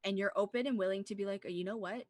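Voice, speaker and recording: A woman's voice: medium pitch, fairly even intonation, rapid-fire, a little mellow, no echo, clean studio sound. A woman co-hosting a casual movie discussion, talking in an earnest, rambling way with plenty of "like". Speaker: podcast co-host.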